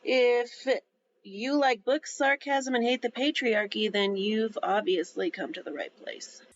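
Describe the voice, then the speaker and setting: Casting: woman podcast host, fairly high voice, casual delivery, slightly muffled lo-fi recording. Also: steady tone, unhurried. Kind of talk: casual chat. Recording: slightly dull, lo-fi sound.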